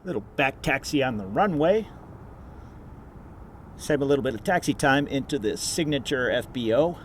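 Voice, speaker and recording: A man's voice: mid-range voice, animated, moderate pace. Guy plane spotting outside, casual chatty commentary, voice goes up and down, bit of vehicle noise behind. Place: outdoors near an airport runway. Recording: outdoor recording with faint traffic noise. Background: faint vehicle and outdoor ambience.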